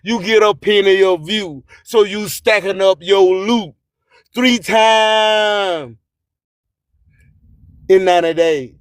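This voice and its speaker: A man's voice: high-pitched, slow, long pauses, no echo, studio quality. A man hosting a radio show, delivering an emphatic, declamatory motivational monologue and repeating his catchphrases. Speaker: radio host. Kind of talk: motivational radio monologue with promotional shout-outs.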